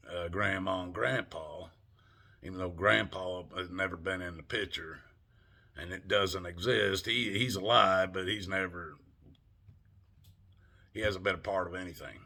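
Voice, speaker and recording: Older Southern US man, vlogger; low, husky, slow, folksy and salty delivery with a tense, bright edge. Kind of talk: casual monologue to camera.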